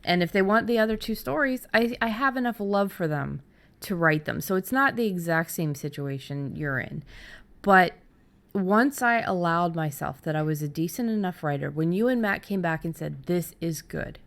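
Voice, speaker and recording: A middle-aged woman host talking casually and candidly about her own experience, haltingly, as if thinking aloud. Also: lowish voice, expressive, fairly bright tone. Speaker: podcast host and fiction writer. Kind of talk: casual conversational storytelling.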